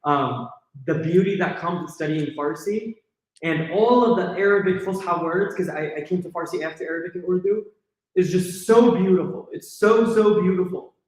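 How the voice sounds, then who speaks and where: A man's voice: sits high, moderate pace, a little mellow. Man, enthusiastic panelist, fillers, lots of room echo. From California, USA. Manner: earnest, enthusiastic, thinks aloud with fillers. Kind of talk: Q&A answer at a lecture. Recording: very echoey hall.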